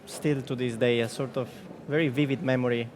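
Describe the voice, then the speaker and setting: A young Italian-born pianist in his twenties, speaking in a thoughtful, measured way on a panel. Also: medium pitch, fairly even intonation, a little mellow, a little hiss or hum. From Italy. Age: 20s. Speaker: competition pianist guest. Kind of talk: panel talk.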